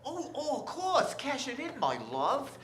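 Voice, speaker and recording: A woman's voice: sits high, wildly swooping pitch, unhurried, crowd noise, heavily acted. A woman playing a doting, jovial father in a 1940s radio drama, with a folksy manner. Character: doting, jovial young father, a store greeter. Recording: echoey stage.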